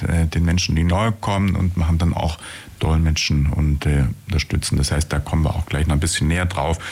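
A man with a low voice hosts a radio interview. He talks conversationally and tends to ramble, rewording his questions along the way. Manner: rambling, rephrases questions mid-sentence.

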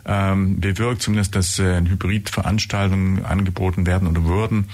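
Deep-voiced guy w a husky tone, chatting as interviewer, kinda rambly w lots of ums. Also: level tone, a little fast, darkish tone. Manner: rambling, searching for words, many fillers. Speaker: radio interviewer. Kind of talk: conversational interview.

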